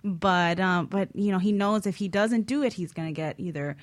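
A woman's voice: fairly low pitch, moderate pace, dry recording. A woman chatting casually as a talk show panelist, often checking that her listeners agree. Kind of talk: casual chat, personal anecdote.

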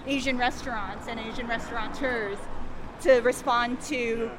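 A chatty woman with a high, light voice leads a walking food tour outdoors. She explains things casually as she goes. Loud street noise sits right behind her. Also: steady tone, measured pace. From Canada. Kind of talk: casual guided tour talk.

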